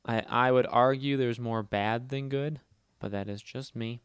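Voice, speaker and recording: Nasal, fast-talking man streaming. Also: medium pitch, fairly even intonation, smooth, no room sound, clean studio sound. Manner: fast, loud talker; chatty and rambling, reads and answers chat. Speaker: livestream host. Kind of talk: casual livestream chat while gaming.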